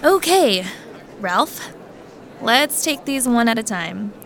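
An actress playing a courteous, careful coin appraiser who explains things politely and in measured phrases in an audio drama. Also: female voice, a little high, lively intonation, fairly bright tone, full character voice. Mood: polite, explaining.

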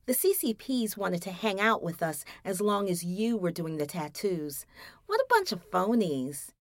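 A woman's voice: medium pitch, very animated, a little slow, dry recording. A woman reading a storybook, voicing a wry, sassy teenage girl with a dry, teasing way of talking. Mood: earnest.

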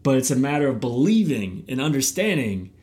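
A man's voice: mid-range voice, very animated, a little fast, a little mellow. A middle-aged man, host of a podcast and youth baseball coach, talking casually and animatedly, often repeating words as he starts a thought.

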